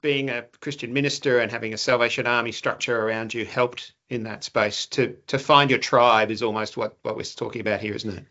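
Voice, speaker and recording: A male interviewer asks thoughtful, probing questions in a bright, forceful voice. His pitch is ordinary for a man. The sound is a little dull and lo-fi. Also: fairly even intonation, brisk, few pauses. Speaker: host.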